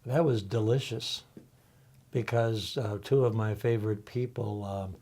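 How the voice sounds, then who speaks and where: An older man on a discussion panel, speaking thoughtfully and haltingly, with many pauses and fillers. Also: fairly low pitch, fairly even intonation, dark tone. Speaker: panel guest, college faculty member.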